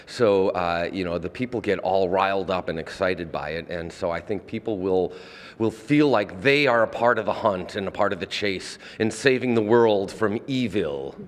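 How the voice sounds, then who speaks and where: Deep-voiced man in a reverberant hall. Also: normal speed. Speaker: theater director, interview guest. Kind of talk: explanatory interview talk.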